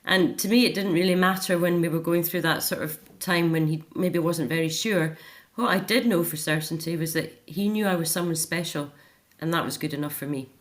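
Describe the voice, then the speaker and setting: A middle-aged woman speaks in a fairly low register. She is a guest in a personal interview, talking reflectively and articulately in a natural conversational style. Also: a little fast.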